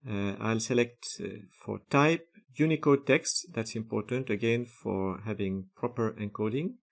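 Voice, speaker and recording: A man, methodically narrating a screen-recorded software tutorial step by step, with frequent filler sounds. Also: on the low side, moderate pace, gravelly.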